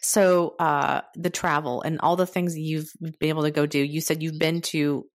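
A woman podcast host with a very low voice asks loose, friendly questions, circling with "like" and "you know." The sound is dry and close. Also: flat delivery, normal speed, dry recording. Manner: friendly, rambling questions with "like" fillers. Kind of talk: casual interview chat.

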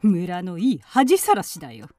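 Sneering aunt, middle-aged, period radio drama. Domineering, distrustful, highly animated delivery, dry studio sound. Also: female voice, moderate pace, no echo, soft background music. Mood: scornful, suspicious. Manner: haughty, sneering, commanding. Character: spiteful, suspicious aunt and mistress of a village household.

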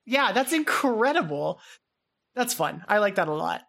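A woman talks as a podcast co-host, chatty and excitable. Her pitch is average for a woman, and her style is informal, like casual conversation between co-hosts. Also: normal speed, creaky. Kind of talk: casual podcast chat about a radio drama.

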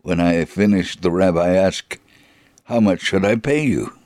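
A man's voice: low voice, a little slow, a little mellow, no room sound, clean studio sound. A man narrating a scripted first-person story as a dramatic reading.

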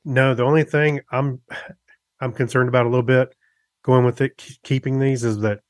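A middle-aged man speaks as a casual podcast co-host. His voice sits at an average male pitch, and he feels his way haltingly through sentences. The recording is dry, without room sound. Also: steady tone, dry recording. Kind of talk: casual chat about gadgets.

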